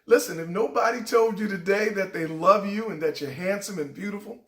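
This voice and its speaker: A middle-aged man hosting a show, telling a heartfelt personal story in a raspy voice that sometimes cracks. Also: high-pitched, a little fast, fairly bright tone, reverberant. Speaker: show host. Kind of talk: inspirational personal talk.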